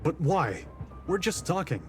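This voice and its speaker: An actor playing a composed, skeptical detective in a visual novel, dry and questioning. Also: male voice, on the high side, big pitch swings, a little slow. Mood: dismissive, puzzled. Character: skeptical, composed male detective of imperial Russia. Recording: voice over prominent background music.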